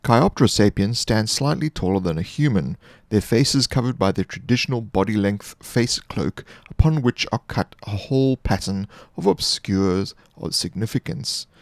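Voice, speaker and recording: A man reading scripted fantasy monster lore as a narrator, in a measured, formal storytelling manner. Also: fairly low pitch.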